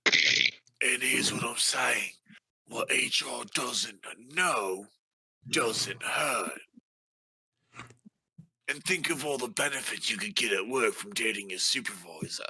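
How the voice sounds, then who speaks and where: A man playing a tabletop role-playing game, improvising conversational dialogue in a halting, persuasive way. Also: medium pitch, expressive, vocal fry, bright, forceful tone, slightly rough, no echo, rough recording.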